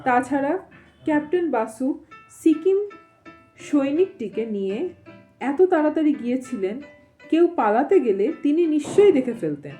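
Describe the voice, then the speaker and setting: A woman reading a story aloud as an audio storyteller, in an expressive, suspenseful narrating manner. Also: high voice, unhurried, long pauses, soft background music. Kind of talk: dramatic reading of a Bengali ghost story with casual asides.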